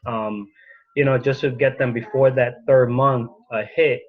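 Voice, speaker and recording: A man who coaches fitness, sharing casually on a video call, halting with many ums and uhs. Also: medium pitch, fairly even intonation. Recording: dull lo-fi call audio.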